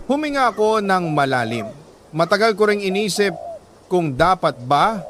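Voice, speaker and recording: A man with a Filipino accent reads a letter as a dramatic first-person story, in measured, emotive short phrases. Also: a little high, a bit bright, slight background noise. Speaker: radio drama storyteller reading a listener's letter.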